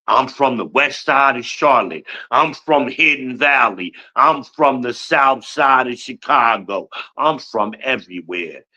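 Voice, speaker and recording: Man, 50s, podcast host, blunt and boastful, cursing freely; bright, forceful voice, dull recording. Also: medium pitch, animated, unhurried, few pauses. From Newark, New Jersey. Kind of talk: profane livestream rant.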